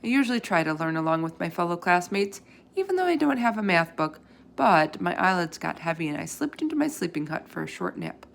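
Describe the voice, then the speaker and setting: Woman, slightly low voice, gravelly fry, expressive storybook read-aloud, emphatic delivery. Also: a little fast. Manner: animated emphasis, acts out character dialogue.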